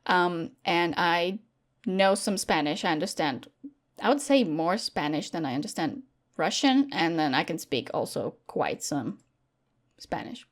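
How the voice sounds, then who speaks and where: Chatty young woman, lowish voice. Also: a little slow. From Latvia. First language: Latvian. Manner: chatty and animated, many fillers and restarts. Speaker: guest content creator. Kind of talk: casual interview chat.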